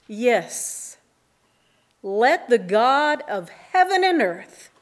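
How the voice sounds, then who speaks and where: A woman giving a reflective poetry reading as a church reader, with deliberate, dramatic phrasing and pauses. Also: fairly high pitch.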